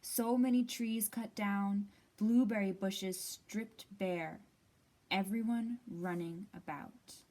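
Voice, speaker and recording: A woman's voice: medium pitch, slow, warm tone. Woman reading expressively to children. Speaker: library storytime host. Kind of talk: expressive children's picture-book read-aloud.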